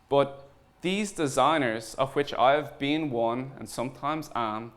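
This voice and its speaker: A man with an Irish accent and a mid-range voice gives a conference talk. His delivery is informal but earnest, and he places deliberate pauses to stress his points. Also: mid-range voice, a bit bright. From Ireland. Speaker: conference speaker, startup founder and designer.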